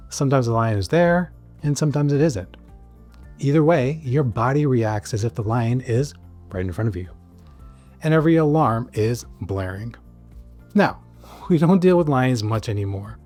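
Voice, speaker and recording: Man explaining clearly, with music behind. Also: mid-range voice, darkish tone. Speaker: psychiatrist host of an explainer channel. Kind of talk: scripted educational narration to camera.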